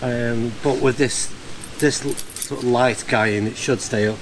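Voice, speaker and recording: A man's voice: mid-range voice, steady tone, a little slow, poor audio. A man talking casually through a hands-on task, hesitantly thinking aloud as he works. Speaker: amateur radio operator vlogging. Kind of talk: casual hands-on vlog narration. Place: outdoors in the field and inside a barn. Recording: clear ambient background noise on location.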